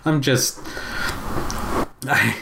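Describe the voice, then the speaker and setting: A man's voice: mid-range voice, very slow, gravelly, noisy background. A man with a congested chest, chatting casually in a weary, wry, griping way. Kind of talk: casual chat.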